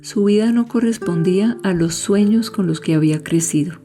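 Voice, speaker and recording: A woman's voice: medium pitch, normal speed, dark tone, soft background music. A woman, narrating a scripted story for a podcast.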